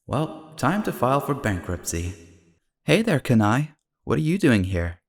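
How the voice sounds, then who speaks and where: A man's voice: medium pitch, very animated, measured pace. A man playing a wry, aloof young private investigator in a fan audio drama, with a sardonic manner. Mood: wry, groggy. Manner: sardonic, dry-witted. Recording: long reverb, faint music.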